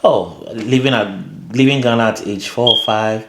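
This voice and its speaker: A man's voice: on the low side, normal speed, a bit bright. A man hosting a conversational interview, repeating words as he phrases his questions. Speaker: interview host. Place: guest's home, indoors. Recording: roomy indoor echo.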